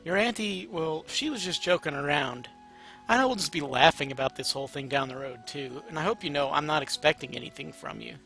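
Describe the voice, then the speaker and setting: Man as a story character, male suitor, casual, teasing, creaky, bright. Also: a little high, fairly quick, faint music behind, poor audio. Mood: reassuring.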